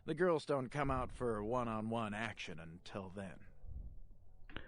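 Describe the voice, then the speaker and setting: A man's voice: lowish voice, lively intonation, slow pace. An actor playing a sleazy strip club man in a video game, with leering, slangy salesman patter. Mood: sleazy, insinuating.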